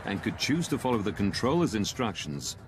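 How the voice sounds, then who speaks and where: Low-voiced male documentary narrator, music behind. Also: sing-song, a little fast. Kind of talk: scripted narration.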